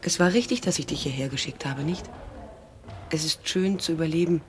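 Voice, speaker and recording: A very low, grave woman's voice from an actress in a radio play, a middle-aged mother who survived the war and wants her child back. She speaks in an insistent, measured way, with clear ambient noise behind her. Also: natural voice. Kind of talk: dramatic radio play dialogue.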